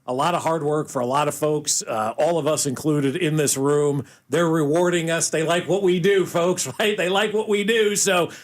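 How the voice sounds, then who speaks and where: A man's voice: fairly high pitch, fairly even intonation, normal speed, few pauses. A man hosting casual sports radio talk, enthusiastic and emphatic. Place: radio studio.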